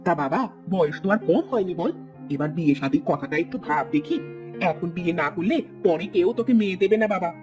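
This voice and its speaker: An actor plays an elderly village mother in a cartoon. She rattles along quickly, nagging and coaxing with a wistful, complaining manner, over soft background music. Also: medium pitch, expressive, natural voice. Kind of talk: cartoon voice acting. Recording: music bed behind.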